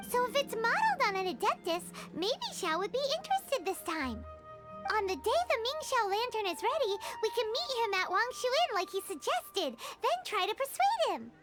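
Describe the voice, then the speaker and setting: A woman playing a tiny, bossy, childlike fairy companion in a video game, squeaky and excitable. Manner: squeaky, childlike, refers to herself in third person. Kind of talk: English video game voice acting. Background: game music.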